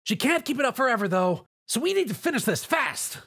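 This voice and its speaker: Man putting on a raspy brash girl pony. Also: extremely high pitch, sing-song, a little fast, bright, forceful tone, no echo, studio quality, pitched above the performer's own voice, heavily acted. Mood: brash urgency. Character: brash young female flying pony, bossy and urgent.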